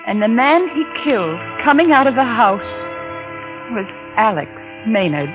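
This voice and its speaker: An actress playing a cold, scheming wife turned killer in a 1940s radio suspense drama, speaking with taunting deliberation. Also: female voice, fairly high pitch, sing-song, normal speed, poor audio. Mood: rueful, narrating. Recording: vintage radio recording with music behind.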